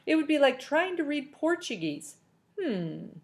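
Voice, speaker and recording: A woman reading a children's novel aloud in an expressive storytelling style. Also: sits high, moderate pace.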